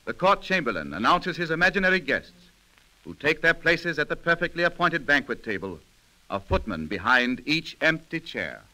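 A man's voice: on the high side, very animated, normal speed, poor audio. A man giving scripted, dramatic narration in the style of 1930s radio. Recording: 1930s radio recording, music underneath.

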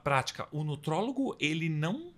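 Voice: medium pitch, sing-song, moderate pace, a bit bright. Brazilian host, voice jumps all over, playful and reactive, asks the questions. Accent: Brazilian Portuguese. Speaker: interviewer host. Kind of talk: casual conversational interview.

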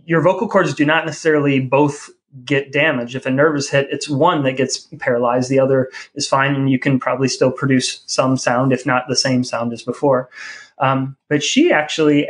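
A man co-hosting a podcast in a casual, conversational style. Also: mid-range voice. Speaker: podcast co-host.

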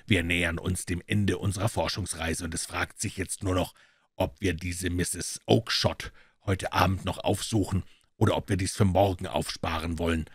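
A man playing a calm, courteous, self-assured detective in a story reading, speaking in a measured, knowing way. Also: low-pitched, slightly rough, no echo, studio quality. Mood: confident.

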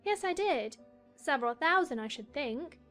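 A woman's voice: high-pitched, expressive, normal speed, a bit bright, faint music behind. A woman playing a curious, polite, outspoken little girl in a storybook audio drama. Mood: frank, inquisitive.

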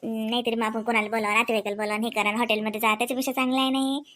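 Casting: actress for high-voiced young cartoon housewife and mom, chatty, practical, coaxing, Varhadi Marathi accent. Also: female voice, little pitch movement, racing, fairly bright tone, lightly acted. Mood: approving. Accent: Varhadi Marathi of Vidarbha. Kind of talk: colloquial domestic comedy cartoon dialogue.

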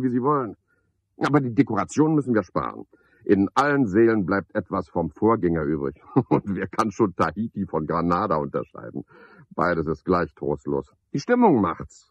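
A self-important club chairman in a seventies radio drama. He is a deep-voiced, gravelly man who cajoles and preens. The old recording is dry and somewhat dull. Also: no room sound. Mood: pompous, assured. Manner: pompous, cajoling, one-sided conversation. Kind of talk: 1970s German radio play acting. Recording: dull, band-limited 1970s radio recording.

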